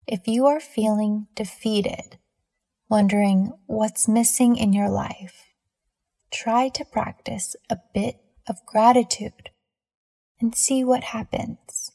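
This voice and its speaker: A woman leading a scripted guided meditation in a measured, soothing manner with deliberate pauses between phrases. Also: a little high, fairly even intonation, vocal fry, mellow.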